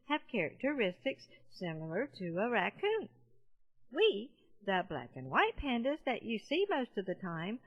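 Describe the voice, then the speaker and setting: A woman as a very animated, friendly storybook panda. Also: mid-range voice, breathy edge, muffled recording. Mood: chatty, amused. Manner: animated, playful, addresses young listeners. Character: friendly giant panda teaching children about pandas. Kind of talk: children's audiobook narration.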